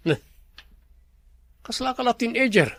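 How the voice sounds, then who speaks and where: Bossy scheming business man in ilocano radio drama, creaky voice, really dry recording. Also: very high-pitched, expressive, measured pace, a bit bright, no echo, full character voice. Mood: pressing, teasing. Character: scheming, commanding resort businessman, a boss.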